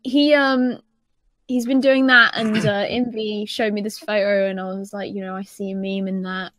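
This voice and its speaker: A woman of twenty chatting casually on a podcast in a rambling, halting way, often repeating herself. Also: medium pitch, level tone, fairly bright tone, no echo. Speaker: podcast panelist.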